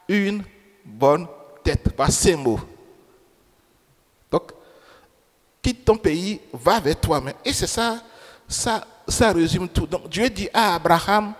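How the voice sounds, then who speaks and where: A man preaching a sermon in French, which is not his first language. He repeats key phrases and puts questions to the congregation. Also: on the high side. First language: another language than French. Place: church. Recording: church reverb. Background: long hall reverb.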